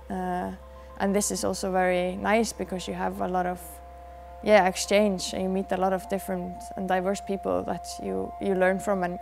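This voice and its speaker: A soft-spoken Icelandic dancer in her thirties, answering interview questions thoughtfully with many pauses, in a dark dance studio. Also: medium pitch, gravelly. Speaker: dancer and choreographer.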